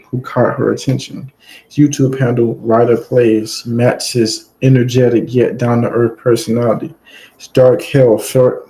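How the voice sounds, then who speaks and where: Chill guy, warm mellow tone, reads a story then jokes around with chat. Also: mid-range voice, rough recording. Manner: loose, joking asides to chat. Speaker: streamer reading stories aloud. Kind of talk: casual commentary between read passages.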